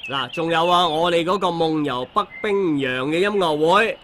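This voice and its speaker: A man plays an eager young student in a band, his voice high and sharp. He speaks earnestly in radio drama style, with a Hong Kong accent. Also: normal speed, a little hiss or hum, close to an everyday voice. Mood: eager, coaxing.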